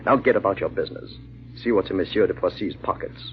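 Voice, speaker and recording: An actor playing a ruthless, smug bandit leader and husband in an old-time radio drama, mocking and commanding. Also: male voice, low voice, fast, close to an everyday voice. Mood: mocking, sinister. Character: ruthless, smug husband secretly leading a murderous bandit gang. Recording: muffled, noisy vintage radio recording.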